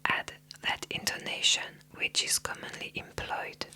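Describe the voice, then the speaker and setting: Soft whispery asmr reader, super slow, airy and bright, right up on the mic. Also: poor audio. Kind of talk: whispered ASMR story reading.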